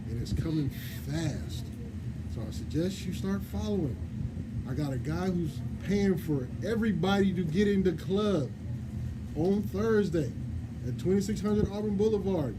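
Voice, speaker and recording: A man hosting a radio show, talking in an energetic, slangy, promotional way in a studio. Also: high-pitched, noisy background. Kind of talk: casual hype radio chat.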